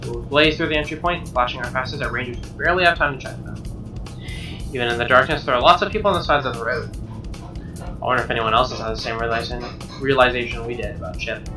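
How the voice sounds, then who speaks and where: A man reading a visual novel aloud as dramatic, expressive narration, with game music behind him. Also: mid-range voice. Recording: slightly distant mic under game music.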